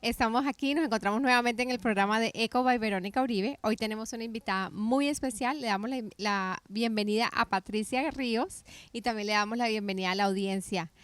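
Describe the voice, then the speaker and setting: A Colombian woman hosts a conversational interview, her voice sitting a touch higher than most and recorded dry and close. She keeps the talk moving with short affirmations and gentle questions. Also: a little fast, few pauses, dry recording. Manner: affirming, frequent encouraging backchannels. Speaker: podcast host.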